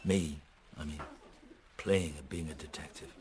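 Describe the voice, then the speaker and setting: A man's voice: rumbling low, steady tone, measured pace, a crowd in the background. An actor playing a pensive middle-aged police detective in a radio drama, musing and hesitant as he mutters his thoughts. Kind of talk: naturalistic radio drama acting.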